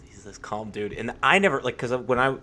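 A man's voice: medium pitch, lively intonation, a little fast, bright, forceful tone, slight background noise. A young man hosting a casual interview, informal and hesitant, circling his questions with repeated words. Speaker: podcast host, interviewer.

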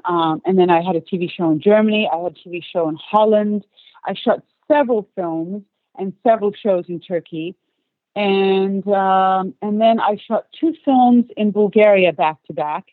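A woman's voice: mid-range voice, no room sound. Woman guest, forties, from Australia; talkative long answers; tinny phone-quality audio. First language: English. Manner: chatty, long run-on answers with light laughs. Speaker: actress guest. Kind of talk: podcast interview.

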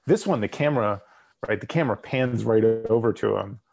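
Gravelly man breaking down film shots. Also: fairly low pitch, a little fast. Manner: relaxed, explains shots in detail. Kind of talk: casual movie discussion.

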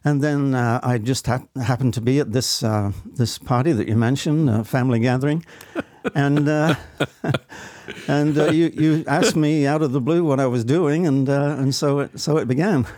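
A man from England with an English accent, giving halting, modest, unscripted answers as an interview guest. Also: medium pitch, a little mellow, dry recording. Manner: halting, hesitant, modest with repeated words. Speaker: interview guest, amateur stage actor.

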